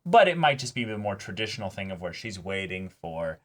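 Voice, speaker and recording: A man's voice: lowish voice, sing-song, a little fast, a bit bright. A man chatting casually as a reaction show host, often repeating words as he searches for his point. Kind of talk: casual chat reacting to anime.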